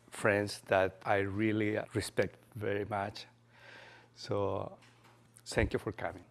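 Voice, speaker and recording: A man from Chile presenting his own artwork to a room of people, talking slowly and haltingly with many pauses and "uh"s. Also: fairly low pitch. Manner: halting, pauses with "uh", soft-spoken. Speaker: guest artist presenting his work. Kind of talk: slow, unhurried artist talk with fillers.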